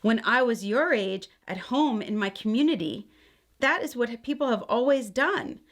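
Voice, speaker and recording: A woman's voice: on the high side, lively intonation, moderate pace, natural voice. A woman reading the part of a patient grandmother who looks back reflectively on her childhood in a picture book.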